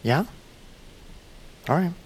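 An actor playing an easygoing, gentle colonist in an audio drama, casual and soft-spoken in a naturalistic style. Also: male voice, very slow, poor audio. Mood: gentle, curious.

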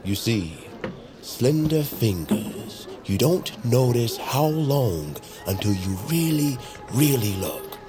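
An actor playing a sly master tailor and secret mentor, silky, deliberate and theatrical in audio drama style. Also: male voice, mid-range voice, very animated, music in the background. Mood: unctuous, teasing.

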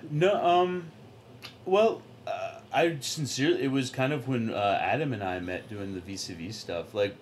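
A man with a deep voice, a sketch comedy performer chatting casually in an interview, rambling and trailing off with many fillers. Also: moderate pace, a little hiss or hum.

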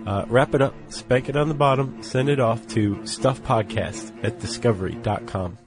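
A man's voice: medium pitch, normal speed, over music. A man co-hosting a podcast with casual, playful, breezy banter. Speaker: podcast co-host.

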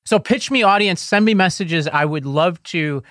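A man co-hosting a podcast in casual chat, animated and quick to laugh. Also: high-pitched, no echo, studio quality. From lives in New York. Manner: chatty, laughs often, animated. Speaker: podcast co-host.

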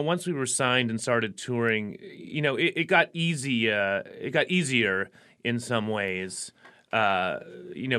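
A man's voice: mid-range voice, fairly bright tone, clean tone. A man in his forties, a musician guest, talking casually in an interview with lots of fillers and restarts. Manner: rambling, frequent fillers and restarts.

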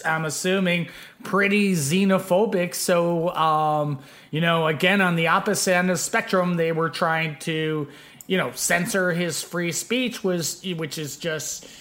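A man's voice: a little high, steady tone, a little slow, a bit bright. A man hosting a casual podcast chat, meandering with many fillers. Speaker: podcast host.

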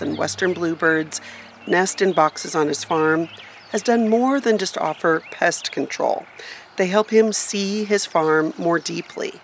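A woman's voice: fairly low pitch, a little hiss or hum. A woman radio reporter reading scripted narration for a feature story.

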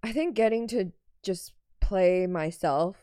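A woman guest chatting casually in an interview, deadpan and understated in her delivery. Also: medium pitch, slow pace, clear, resonant tone, no room sound, studio quality. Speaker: guest, actor and comedian.

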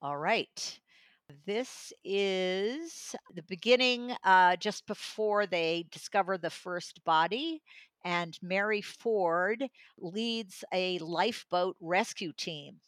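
A woman's voice: mid-range voice, slow pace, fairly bright tone, no room sound. A woman in a conversational book discussion, speaking as an audiobook reviewer. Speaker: audiobook reviewer and regular guest.

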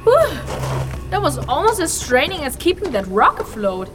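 Fantasy audio drama apprentice: young, self-doubting, exclaiming, swooping lively voice over a music bed. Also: female voice, high voice, measured pace. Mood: relieved, curious. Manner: hesitant, expressive, exclaiming. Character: eager, self-doubting young human woman learning magic.

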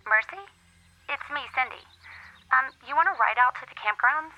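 An actress playing a chatty, prying young churchgoing friend in a radio drama, heard through a telephone filter. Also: female voice, high voice, expressive, moderate pace, vocal fry, sharp tone, muffled recording, soft background music, heavily acted. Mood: friendly.

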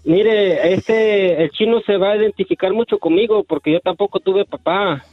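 Male radio caller, Honduran accent, fairly high voice, narrow phone sound, casual storytelling. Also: normal speed, no echo. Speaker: radio show caller from Dallas. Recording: muffled phone line, faint music behind.

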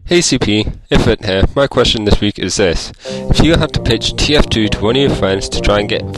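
A man's voice: on the low side, brisk, low-quality recording. A man delivering a short prepared message as a podcast contributor. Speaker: podcast question segment contributor. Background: music, plucked guitar.

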